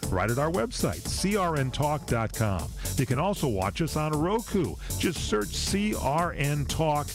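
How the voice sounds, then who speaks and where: Lively male radio promo announcer over music. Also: fairly high pitch, a little slow. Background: music bed.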